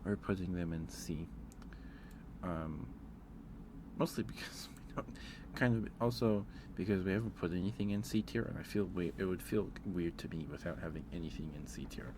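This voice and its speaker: A man's voice: low voice, normal speed, background noise. A man streaming casual ASMR chat, thinking aloud in a hesitant, informal way.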